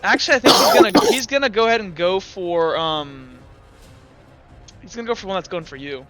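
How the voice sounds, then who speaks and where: High, bright-voiced male game master. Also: animated, a little fast, soft background music. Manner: talks through rules and dice aloud, often correcting himself. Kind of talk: narrating and refereeing a tabletop game.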